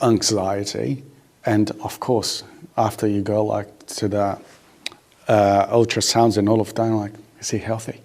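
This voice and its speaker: A man's voice: fairly low pitch, darkish tone. A man from Brazil with a Brazilian accent, a native Portuguese speaker, talking casually about himself in a group. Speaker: panel participant. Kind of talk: casual personal chat.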